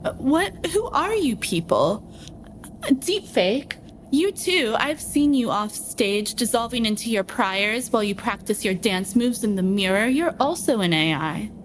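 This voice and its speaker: A woman playing a proud, flustered star dancer in a radio play, indignant and halting, repeating herself. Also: on the high side, lively intonation, a little hiss or hum, rough recording. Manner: halting, repetitive, theatrical.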